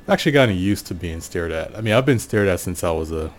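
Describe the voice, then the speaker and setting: An American man giving a casual, reflective solo monologue in an unhurried, musing way, often pausing mid-sentence. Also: deep voice, expressive, a little hiss or hum. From Portland, USA. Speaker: podcast host.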